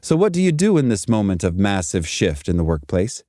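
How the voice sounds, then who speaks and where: A man giving a scripted motivational career talk in an emphatic, deliberate manner with short punchy sentences. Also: fairly low pitch, sing-song, dark tone, no room sound, studio quality. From Zimbabwe and South Africa. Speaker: podcast host and career speaker.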